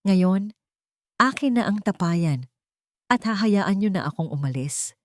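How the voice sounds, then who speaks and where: A woman's voice: mid-range voice, animated, unhurried, no room sound, natural voice. A woman plays a bitter, greedy man who is cornered and desperate. The audio story has a dry, close sound.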